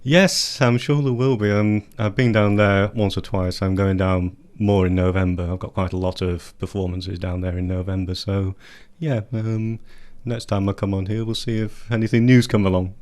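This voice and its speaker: A deep-voiced man talks about his poetry in a radio studio. He chats easily and laughs lightly between thoughts. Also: steady tone, normal speed, hardly any pauses, darkish tone. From England. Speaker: poet guest. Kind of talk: radio interview and poetry reading.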